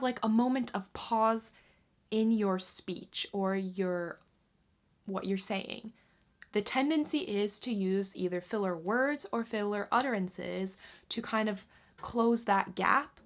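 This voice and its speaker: A young woman talks straight to her viewers. Her voice sits at a middle pitch, and she chats along easily, dropping in filler sounds as she thinks. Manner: chatty, relaxed, uses fillers. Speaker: channel host. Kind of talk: casual explainer to camera.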